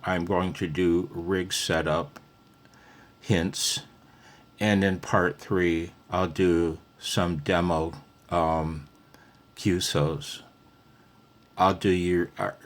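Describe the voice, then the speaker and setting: A man with a very deep voice talks slowly through an informal tutorial. He speaks off the cuff, wandering conversationally from point to point as he thinks out loud. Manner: rambling, conversational, thinking aloud. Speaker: amateur radio hobbyist giving a tutorial. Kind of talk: casual, unscripted tutorial.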